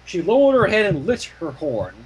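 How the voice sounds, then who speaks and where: A man reading fan fiction aloud as an expressive narrator, with casual asides. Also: high voice, moderate pace, breathy edge, low-quality recording.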